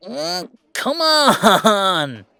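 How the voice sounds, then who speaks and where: A man playing an earnest, playful young werewolf gamer in an intimate, conversational roleplay audio, speaking in a halting, emphatic way. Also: sits high, creaky, clear, resonant tone, no room sound, exaggerated voice. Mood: playful, coaxing. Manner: halting, emphatic, expressive, with sighs and chuckles.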